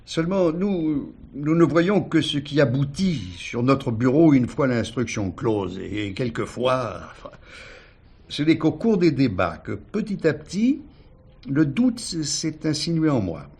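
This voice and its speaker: An older retired judge in a radio drama, haunted by doubt. His voice is slightly husky and middling in pitch, and he recounts things formally, pausing to reflect. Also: sing-song, a little mellow, heavily acted. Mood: reflective, hesitant. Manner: hesitant, reflective, formal storytelling.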